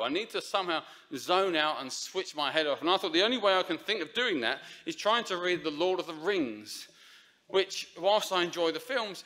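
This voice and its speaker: Man, preacher at a lectern mic, bright forceful tone, rambling chatty delivery with fillers. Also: mid-range voice. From Essex, England. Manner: chatty, self-deprecating, many fillers and restarts. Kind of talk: informal sermon. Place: church sanctuary. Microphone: lectern microphone.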